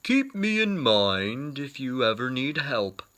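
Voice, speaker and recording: A friendly male bear from a children's storybook, played by a man reading aloud. He offers help with calm self-assurance. His words come slowly, with a bright edge. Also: medium pitch. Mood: self-assured, helpful.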